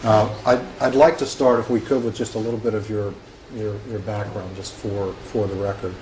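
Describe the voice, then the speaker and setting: A man with a lowish, flat voice questions a witness in a formal deposition. He talks in a halting way, full of hesitations and restarts. The room is echoey with steady background noise. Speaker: examining attorney.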